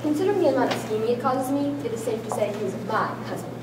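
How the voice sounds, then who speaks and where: A woman's voice: on the high side, lively intonation, very fast, close to an everyday voice. A young woman in an amateur stage play, playing a wife's poised, calculating social mask with suave, formal diction. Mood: poised, superior. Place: large school hall with small audience. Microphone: far camera. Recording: echoey hall, distant camera, room noise.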